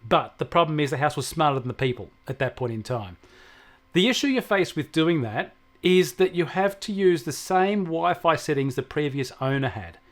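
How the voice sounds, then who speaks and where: Higher-voiced man talking tech. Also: lively intonation, normal speed. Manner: explanatory, anecdotal. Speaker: technology expert co-host. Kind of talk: conversational tech discussion.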